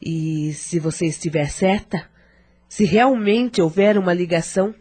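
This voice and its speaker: A woman with a Brazilian accent playing a shrewd middle-aged mother in a radio soap, measured and questioning. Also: fairly low pitch, darkish tone, slightly rough. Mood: probing, concerned. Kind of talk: radio soap opera acting.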